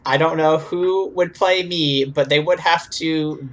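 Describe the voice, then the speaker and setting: A woman's voice: sits low, steady tone, unhurried. A woman co-hosting a podcast in casual banter, with a dry, mock-serious way of joking. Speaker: podcast co-host. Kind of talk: casual banter between friends.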